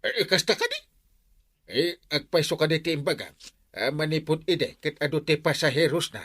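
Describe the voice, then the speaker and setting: An actor with an Ilocano accent playing an aggrieved, sputtering village captain in a radio comedy drama. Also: male voice, a little high, big pitch swings, moderate pace, fairly bright tone, husky, dry recording, full character voice. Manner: sputtering, exclamatory. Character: aggrieved, excitable male village captain who owns a tricycle.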